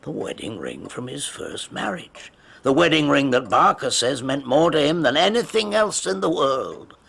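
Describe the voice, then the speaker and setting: An actor playing a proud, eager and slightly prickly country police detective in a radio drama, with emphatic delivery. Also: male voice, on the high side, big pitch swings, moderate pace, creaky, a bit bright, breathy edge, full character voice. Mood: prickly, dramatic.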